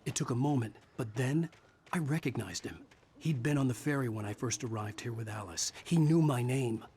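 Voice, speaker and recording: An actor playing a brooding writer who narrates his own nightmare in grave, measured first-person storytelling. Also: mid-range voice, a little mellow, lightly acted. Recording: game music behind.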